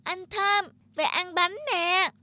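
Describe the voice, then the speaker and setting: A woman's voice: very high-pitched, fairly even intonation, slow, sharp tone, dry recording, heavily acted. A woman voicing a sly, bossy little girl in a children's cartoon, insistent and cunning in delivery. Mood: calling.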